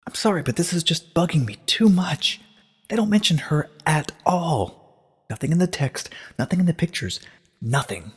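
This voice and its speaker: A man's voice: a little high, animated, moderate pace, husky, voice pushed up. A man puts on an earnest, bookish young pony princess for a storybook reading. The voice is lifted well above his own, urgent and insistent, full of questions. It rings with a long reverb. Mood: agitated, insistent. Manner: urgent, questioning, stammering at times. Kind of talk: dramatic reading of a short story.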